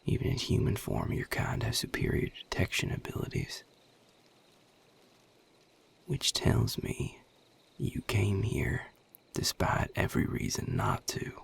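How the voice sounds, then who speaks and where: Gruff, territorial Southern US vampire played by a man for audio roleplay: half-whispered gravel, widely swinging intonation, curt and sardonic. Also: huge pitch swings, full character voice. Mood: stern, wary. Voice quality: gravelly, husky, breathy low voice. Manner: slow, drawling, sardonic and curt. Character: guarded, gruff Southern male vampire defending his territory. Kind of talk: audio roleplay addressed to the listener.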